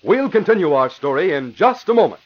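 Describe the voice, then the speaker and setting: An American male radio announcer of the 1940s with a swooping, animated delivery. He reads scripted copy emphatically, on a dull old recording. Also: on the high side, a little fast, slight background noise. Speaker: announcer and narrator.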